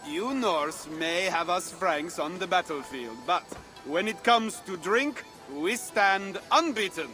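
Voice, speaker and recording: A man's voice: high-pitched, expressive, takes it slowly, bright, forceful tone, faint music behind, full character voice. A man playing a fussy, haughty Frank with a French accent and theatrical delivery in a video game drama. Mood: amused disdain.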